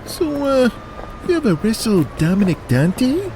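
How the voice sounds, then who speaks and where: An actor playing a skinny, cocky, hot-headed Italian-American mob collector in an audio drama. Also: male voice, very high-pitched, very animated, measured pace, slight background noise, exaggerated voice, low-quality recording. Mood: eager, cocky. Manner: wise-guy swagger, profane.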